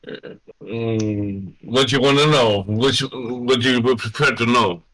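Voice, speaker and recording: A man co-hosting a racing stream in English, joking and laughing in a slightly halting way. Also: mid-range voice, steady tone, fairly bright tone, poor audio. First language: Greek. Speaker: co-host. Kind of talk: casual post-race commentary.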